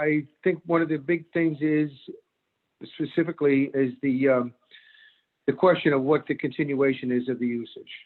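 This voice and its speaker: A man in his sixties from Connecticut, a commercial broker testifying at a remote public hearing, speaking haltingly with many fillers. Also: fairly high pitch. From Norwalk, Connecticut. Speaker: commercial real estate broker. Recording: tinny phone-line audio.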